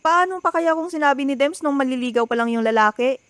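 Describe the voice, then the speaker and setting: A woman's voice: high voice, quick pace, dry recording, natural voice. A woman with a Filipino accent playing an outspoken young sister in a radio drama. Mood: scolding. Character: outspoken young sister scolding her big brother.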